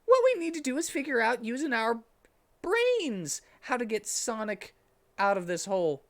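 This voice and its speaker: An excitable cartoon fox kid, played by a man in a dramatic reading, pitched high and swooping. He is bossy and earnest, and loud in everything he says. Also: normal speed, full character voice. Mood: mad, bossy.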